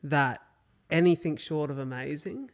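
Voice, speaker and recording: Aussie host guy, kinda high voice, super upbeat and earnest, hypes his guest up. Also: unhurried. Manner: upbeat and earnest, warm encouraging tone. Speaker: podcast host. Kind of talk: casual interview conversation.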